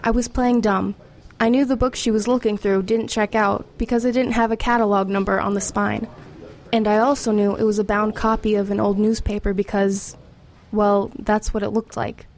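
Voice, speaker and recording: A woman's voice: mid-range voice, little pitch movement, normal speed, darkish tone, natural voice. An actress playing a streetwise teenage girl in a radio drama, confiding and matter-of-fact as she tells her story. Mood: wry, confiding. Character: streetwise, wry, formerly homeless teenage girl. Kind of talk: first-person narration and dialogue in radio drama.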